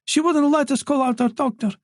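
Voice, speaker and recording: A man voicing an older mother who talks quickly and insistently. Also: extremely high pitch, steady tone, no room sound, clean studio sound, pitched above the performer's own voice. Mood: earnest. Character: worried older French mother, forthright and talkative.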